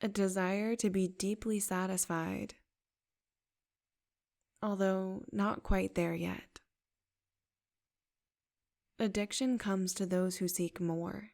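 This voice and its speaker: A woman guides a meditation with a soft, affirming manner. She speaks at a very slow, drawn-out pace. Her voice carries a gravelly fry, while her pitch sits in the middle. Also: steady tone.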